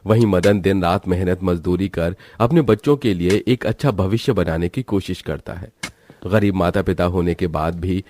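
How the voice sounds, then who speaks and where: Low-pitched male storybook narrator. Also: moderate pace, a little mellow, slight background noise. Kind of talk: scripted storytelling for a moral cartoon.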